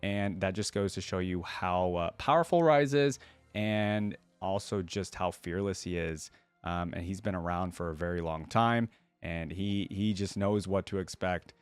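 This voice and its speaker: A man's voice: low-pitched, unhurried, clear, resonant tone, dry recording. A man giving casual, chatty, slangy reaction commentary as a video host.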